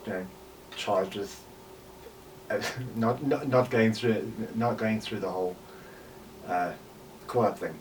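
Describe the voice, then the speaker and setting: Low-voiced South African man, halting and distant. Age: 40s. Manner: halting, with repeated words and pauses. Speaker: podcaster and voice actor. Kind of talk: personal reflection, interview-style talk. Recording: distant mic with faint background noise.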